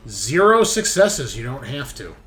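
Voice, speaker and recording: A man's voice: mid-range voice, very animated, unhurried, fairly bright tone, faint music behind. A man working as game master in a tabletop roleplaying game, casually explaining rules and narrating the action step by step in an informal way.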